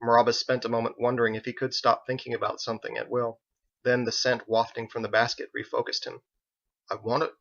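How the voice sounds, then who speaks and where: A man narrates a fantasy audiobook. His voice sits at a middle pitch and he reads the prose expressively. The recording is slightly dull. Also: flat delivery, fast, a bit bright. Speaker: author reading own audiobook.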